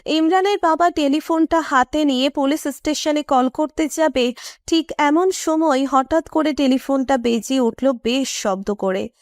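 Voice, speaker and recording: A woman's voice: sits high, unhurried, no echo, studio quality. A woman narrator reading a romantic story in scripted storytelling style.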